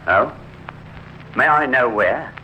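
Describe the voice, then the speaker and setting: An actor playing a suspicious, determined gentleman, formal and probing, in a 1950s radio crime drama. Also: medium pitch, animated, slow pace, exaggerated voice. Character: suspicious, determined gentleman investigating a friend's disappearance. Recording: dull, noisy old radio recording.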